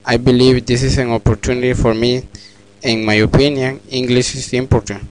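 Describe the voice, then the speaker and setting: A man who is an adult English learner, reading his thoughts aloud in a steady, earnest way. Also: mid-range voice, fairly quick, rough recording.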